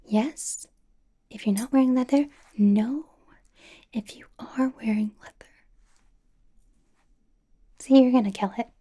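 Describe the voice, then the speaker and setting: Woman acting as bubbly doting big-sister pop idol, ASMR roleplay, very breathy whisper, soft mellow tone, encouraging and rambling. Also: on the high side, slow, pauses often. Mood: cheerful, supportive. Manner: encouraging, rambling, playfully reassuring. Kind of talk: ASMR roleplay with personal attention.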